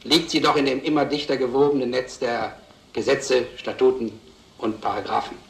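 An actor playing a wry, melancholy gentleman making a formal, reflective speech in a radio drama. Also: medium pitch, steady tone, normal speed, reverberant, natural voice, rough recording. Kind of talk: radio drama acting, after-dinner speech.

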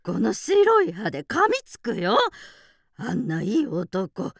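A woman playing a bossy, scolding old grandmother field mouse in a radio drama, with drawn-out, folksy elderly speech. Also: medium pitch, wildly swooping pitch, vocal fry, dry recording, clean studio sound, heavily acted.

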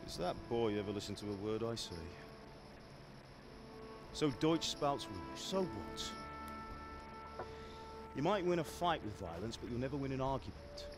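A man's voice: mid-range voice, animated, normal speed, long pauses, close to an everyday voice. An actor playing a stern, proud middle-aged blacksmith father in a video game, plain-spoken and admonishing. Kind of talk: video game cutscene acting. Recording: game music behind the voice.

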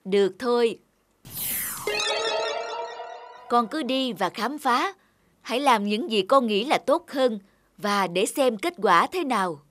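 A woman playing a wise, motherly nature spirit in a children's cartoon, speaking in a calm, measured, instructive way. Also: a little high. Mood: calm guidance. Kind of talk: dubbed children's cartoon dialogue. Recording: loud music behind. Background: loud music.

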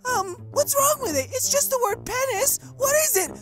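A man's voice: very high-pitched, animated, normal speed, fairly bright tone, faint music behind, voice pushed up. A man voicing a naive, sweet, childlike male dragon head in a comic dub, innocent and hesitant. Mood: puzzled.

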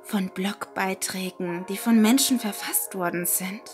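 A woman narrating a first-person scary story in a hushed, unhurried, dramatic manner, with music behind her. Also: mid-range voice. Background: background music.

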